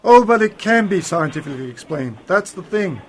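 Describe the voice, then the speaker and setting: A man's voice: high voice, animated, fairly quick, slight background noise. A man playing a mysterious, authoritative time-travelling uncle in an amateur science fiction radio play, pondering aloud with slightly theatrical emphasis. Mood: pondering, suspicious.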